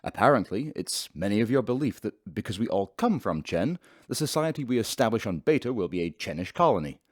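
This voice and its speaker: A man's voice: on the low side, sing-song, fairly quick, no echo, studio quality. An actor playing a pedantic male professor giving a formal, declamatory lecture in a sci-fi radio drama. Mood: pompous, stern.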